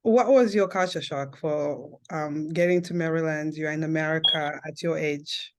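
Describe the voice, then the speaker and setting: A woman's voice: low-pitched, moderate pace, noisy background. A woman hosting a podcast interview, asking short curious questions with small hesitations. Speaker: podcast host. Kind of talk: casual interview conversation.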